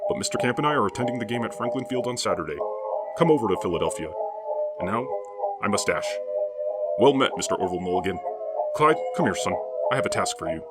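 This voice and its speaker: An actor playing a genial, fatherly older man who talks briskly and warmly. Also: lowish voice, expressive, over loud music, close to an everyday voice.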